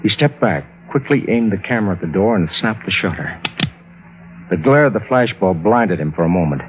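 A man's voice: deep voice, animated, brisk. A man narrating a suspense story as a grave storyteller in dramatic mid-century radio style. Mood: measured suspense. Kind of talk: dramatic scripted narration, 1940s-50s radio. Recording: tinny, band-limited old radio recording, loud music.

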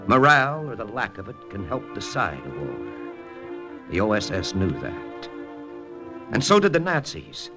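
A man's voice: on the low side, sing-song, normal speed. An actor playing a cool, persuasive American wartime lieutenant with an American accent, telling his story in hardboiled 1940s radio drama style. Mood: wry, explanatory. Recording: old radio broadcast with music.

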